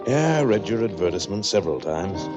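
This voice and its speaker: A man acting a smooth, wealthy fifty-year-old in a 1940s radio drama, speaking with genteel politeness. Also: lowish voice, very animated, quick pace, music in the background. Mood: courteous. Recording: muffled 1940s radio recording.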